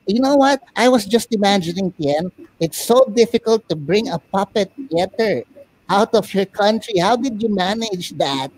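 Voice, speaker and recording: A man with a Filipino accent hosting a talk show interview in a playful, expressive, emphatic way. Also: sits high, normal speed, no echo, rough recording. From Philippines. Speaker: puppet show host.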